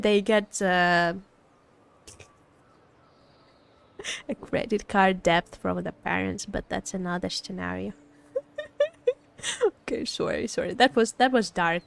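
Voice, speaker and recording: A woman's voice: mid-range voice, takes it slowly, creaky, long pauses. A woman streaming as a VTuber, chatting casually about a game in a teasing, joking, giggly way. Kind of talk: casual livestream chat reacting to a game.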